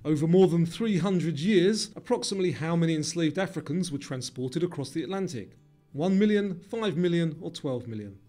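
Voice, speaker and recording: A man reading scripted quiz questions and answers in a measured way, as the narrator of a trivia video. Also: fairly high pitch, expressive, mellow, faint music behind.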